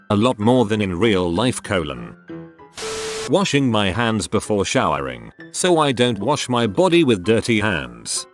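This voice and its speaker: A man reading out forum posts one after another as scripted narration, with the punctuation and abbreviations spoken aloud. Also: lowish voice.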